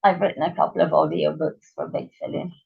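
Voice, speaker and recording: A middle-aged woman with a croaky, gravelly voice, a guest in a relaxed interview who pauses with ums as she thinks. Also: deep voice. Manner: thoughtful, trails off with ums. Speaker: interview guest, writer and actress. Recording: dull lo-fi remote audio.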